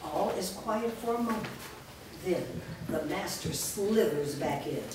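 A woman's voice: on the low side, expressive, measured pace. A woman reading scripted stage directions aloud as the narrator of a staged reading. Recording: echoey room, far mic, clear noise.

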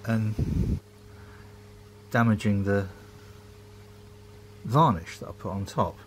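A man's voice: fairly low pitch, takes it slowly, a little hiss or hum. A man, rambling casually and self-mockingly while filming his home brewery workshop on a handheld phone.

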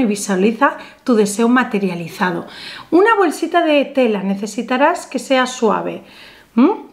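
A woman, teaching simple rituals to the camera, animated and emphatic, slowing down for affirmations. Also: sits high. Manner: animated, gestures a lot, slow emphatic affirmations. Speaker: spiritual ritual vlogger. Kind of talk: instructional ritual talk to camera. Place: small indoor room with a painted backdrop.

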